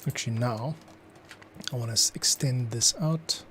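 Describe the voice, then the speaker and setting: A man's voice: medium pitch, normal speed, soft background music. A man, a composer, talking through his scoring process as he works, thinking aloud. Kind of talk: thinking aloud tutorial commentary.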